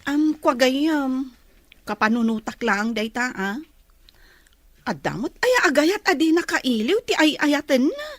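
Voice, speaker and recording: A woman's voice: sits high, lively intonation, a little slow, no room sound. A woman playing a frank, nosy young best friend in an audio drama. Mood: concerned.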